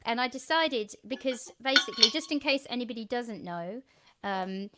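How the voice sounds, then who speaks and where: A woman's voice: fairly high pitch, moderate pace, very noisy. A woman musician chatting casually on a home live stream over a video call, rambling and giggling. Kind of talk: casual chat.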